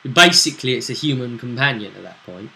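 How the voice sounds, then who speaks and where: A man recapping a tabletop role-play session as game master, casually, in a halting, playful way. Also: medium pitch, animated, gravelly, sharp tone, rough recording.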